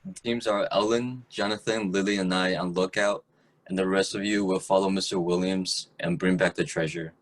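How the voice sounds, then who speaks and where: A man playing a bossy, skeptical high school boy in an amateur table read. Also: steady tone, normal speed, natural voice.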